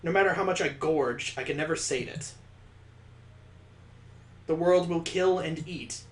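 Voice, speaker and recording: A man's voice: a little high, a little slow, close to an everyday voice. A man reading as a grim, vengeful killer, echoey. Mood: brooding wrath. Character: vengeful, coldly cruel young killer narrating a visual novel. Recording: roomy, echoing sound.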